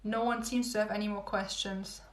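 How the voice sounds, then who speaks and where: A young woman chatting casually with livestream viewers, rambling and repeating herself with little laughs, her voice going hoarse. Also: mid-range voice, level tone, fairly quick. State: losing her voice. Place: home room. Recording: echoey room, phone livestream.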